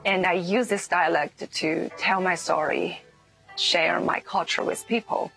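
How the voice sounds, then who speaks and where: Gravelly Chinese-accented woman, thoughtful. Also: fairly low pitch, fairly even intonation, rough recording. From China. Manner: thoughtful, pausing between phrases. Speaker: musician. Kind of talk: interview. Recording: soft music underneath.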